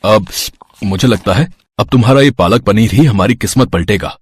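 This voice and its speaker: Husky kindly village father played by a man. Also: lowish voice, normal speed, a little mellow, dry recording. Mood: earnest, encouraging. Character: kindly village husband and father, humble, encouraging. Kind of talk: cartoon story dialogue.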